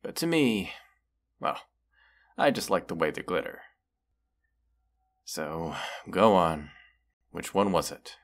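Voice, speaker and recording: A man's voice: sits low, very animated, heavily acted. A man playing a proud, menacing, weary dragon who distrusts humans, talking haughtily in a fantasy audio roleplay. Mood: scornful. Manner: slow, deliberate, haughty, with long pauses. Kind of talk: scripted audio roleplay monologue.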